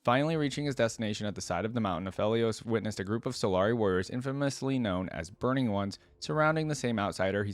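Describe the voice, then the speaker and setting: A man reading lore aloud for a reaction video, dropping in casual, curious asides as he thinks out loud. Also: lowish voice, no room sound.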